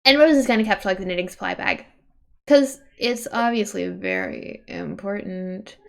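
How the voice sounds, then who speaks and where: A woman's voice: medium pitch, normal speed, creaky. A woman reading a webcomic aloud on a livestream in an informal way, breaking off for casual asides and self-corrections.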